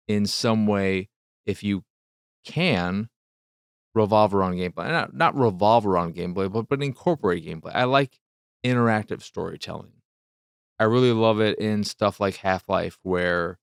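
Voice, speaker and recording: A man giving a casual spoken video game review, thinking aloud in halting short phrases. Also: lowish voice, smooth, dry recording, clean studio sound.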